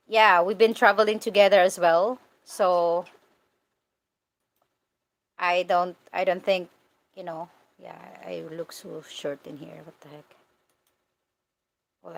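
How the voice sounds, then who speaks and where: A woman's voice: fairly low pitch, low-quality recording. Casual Filipino-accented woman chatting candidly. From Philippines. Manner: candid, informal, talking directly to viewers. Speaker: vlogger answering live chat comments. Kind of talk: casual livestream chat.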